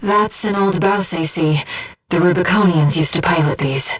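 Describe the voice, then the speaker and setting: A woman playing a calm, devoted disembodied companion who guides a pilot in a video game, speaking in a measured, earnest, gently formal way. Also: lowish voice, slightly rough, recorded from a distance, faint music behind, rough recording. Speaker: mission support companion. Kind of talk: scripted video game voice acting.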